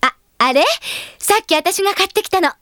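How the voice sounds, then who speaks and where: An actress playing a caring, brisk young woman who is a loyal teammate, coaxing and animated in a Japanese anime audio drama. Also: extremely high pitch, no echo, studio quality. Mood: coaxing, cheerful.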